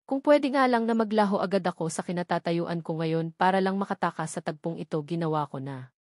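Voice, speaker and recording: A woman's voice: fairly low pitch, brisk, a little mellow, no echo, clean studio sound. A woman reading a romance novel aloud as a dramatic first-person narration. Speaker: audiobook narrator.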